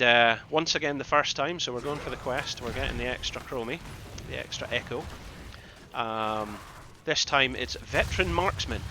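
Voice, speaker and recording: A man from the Scottish Highlands with a Scottish accent, casting a game live in a conversational, rambling way and repeating phrases for emphasis. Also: bright, forceful tone, slight background noise. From Scottish Highlands, northeast Scotland. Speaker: esports caster and streamer. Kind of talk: live game commentary with casual asides to chat.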